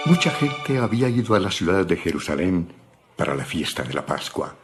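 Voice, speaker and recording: A man's voice: sits low, sing-song, normal speed, loud music behind the voice. A man narrating a dramatized audio Bible from a script.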